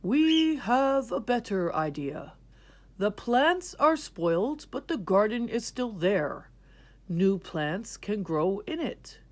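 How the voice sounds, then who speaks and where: A woman voicing a fair, patient king in a children's story, deliberate and measured. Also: mid-range voice, sing-song, pitched above the performer's own voice. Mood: reasonable. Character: fair, patient king, thoughtful adult man.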